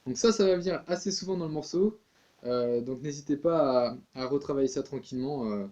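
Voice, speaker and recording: A man's voice: medium pitch, a little fast, muffled recording, over loud music. A man giving a casual tin whistle tutorial, chatty, with many fillers and restarts.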